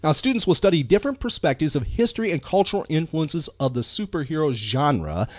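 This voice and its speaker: An enthusiastic man hosts a casual pop culture podcast. His delivery rambles and swings freely up and down in pitch. The sound is dry and intimate, with little room around it. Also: very animated, fairly quick, no echo. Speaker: podcast host.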